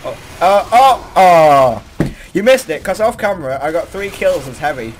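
A young man giving casual, excitable, bantering commentary while hosting a gaming video. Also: a little high, normal speed. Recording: noisy amateur game-chat mic.

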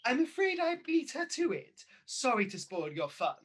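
A woman's voice: sits high, sing-song, normal speed, breathy edge, full character voice. Woman playing a vain, catty pantomime dame, swooping delivery. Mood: scornful. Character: vain, newly beautified pantomime dame, haughty and cutting. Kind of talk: radio pantomime acting.

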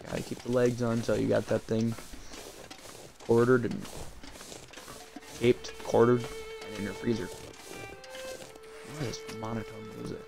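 Calm gravelly man streaming a game. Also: medium pitch, measured pace, pauses often, faint music behind. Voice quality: calm, fairly monotone delivery. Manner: rambling, chatty asides. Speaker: gameplay streamer. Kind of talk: casual game commentary.

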